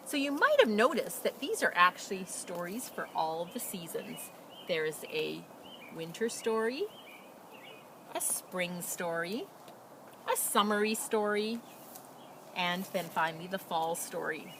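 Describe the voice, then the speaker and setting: A woman reading aloud as a children's storyteller, with expressive, dramatic emphasis and casual delivery. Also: lowish voice, a little hiss or hum. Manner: animated, dramatic emphasis and drawn-out words.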